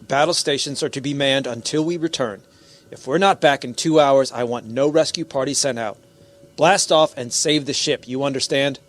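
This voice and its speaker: A man's voice: mid-range voice, moderate pace, lightly acted. Firm, commanding spaceship captain played by a man. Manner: commanding, clipped orders. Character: firm, cautious male spaceship captain commanding a landing party. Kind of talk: science fiction radio drama acting.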